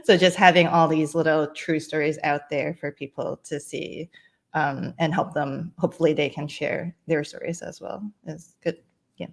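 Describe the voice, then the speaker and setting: A woman's voice: low voice, fairly even intonation, moderate pace, creaky. A woman, answering casually and candidly in a hesitant, self-deprecating way. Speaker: filmmaker and comedian, panel guest. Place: small room at home.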